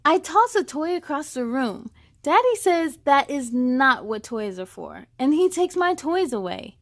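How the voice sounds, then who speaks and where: A woman reading a children's storybook as a young girl, an easily angered big sister, in an emphatic, deliberate way. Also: sits high, big pitch swings, dry recording, clean studio sound. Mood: mad, upset.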